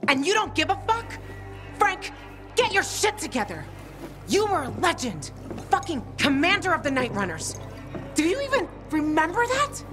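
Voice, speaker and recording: A fiery fighter, high lively voice. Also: female voice, normal speed, music in the background, exaggerated voice. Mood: exasperated. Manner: blunt, urgent, foul-mouthed. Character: fiery, tough young woman fighter. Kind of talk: dramatic video game acting.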